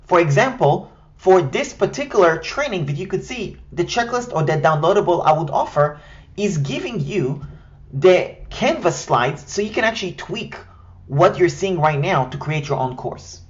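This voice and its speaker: A man's voice: high-pitched, lively intonation, moderate pace, lo-fi sound. A man from Israel, a native Hebrew speaker with an Israeli accent, giving a scripted, step-by-step tutorial on building an online course. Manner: structured, step-by-step, direct.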